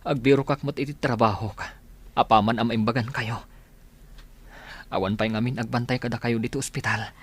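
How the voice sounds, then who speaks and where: A man's voice: mid-range voice, fairly even intonation, moderate pace, long pauses, breathy edge, natural voice. A man playing an apologetic, jobless grown son in an Ilocano radio drama, earnest and remorseful. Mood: remorseful, promising.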